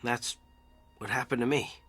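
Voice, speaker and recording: A man's voice: medium pitch, fairly even intonation, moderate pace, creaky, a bit bright, husky, faint music behind. An actor playing a troubled, sardonic company man in therapy in an audio drama, with a curt, defensive delivery. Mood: doubtful, wry.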